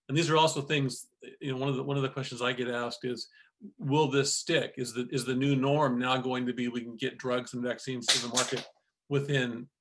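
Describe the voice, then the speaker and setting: A man moderating a discussion conversationally, halting and repeating words as he searches for his phrasing. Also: medium pitch, fairly bright tone. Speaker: moderator, chief executive of a trade council.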